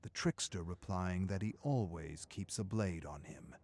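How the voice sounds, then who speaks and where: A man's voice: low-pitched, a little slow, darkish tone, a little hiss or hum. A man reading a scripted story as a dramatic narrator.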